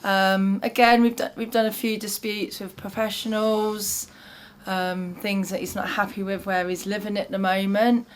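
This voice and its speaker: English woman advocate, chatty and warm, plenty of ums. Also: medium pitch, flat delivery, moderate pace, slight background noise. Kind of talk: interview testimony.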